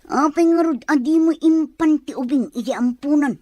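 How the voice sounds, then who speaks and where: Lady playing a resentful sister in a radio drama, highish voice, always questioning, no echo. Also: moderate pace, warm tone, studio quality, natural voice. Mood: probing, reproachful.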